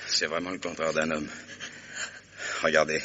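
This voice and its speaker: An actor playing a stern, devoted husband in a French radio mystery play, speaking with formal, earnest authority. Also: male voice, level tone, normal speed, dull audio, very noisy. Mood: tender, grave. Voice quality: very deep, gravelly, husky. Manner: earnest, commanding, formal address. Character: stern, devoted new husband, protective and determined.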